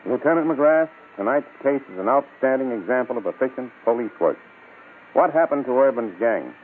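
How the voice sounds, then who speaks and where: A man's voice: mid-range voice, expressive, moderate pace, lightly acted. Man as formal police commissioner, tinny old radio. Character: formal police commissioner hosting crime show. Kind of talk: scripted 1950s radio show presenting. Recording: tinny old radio recording, faint noise.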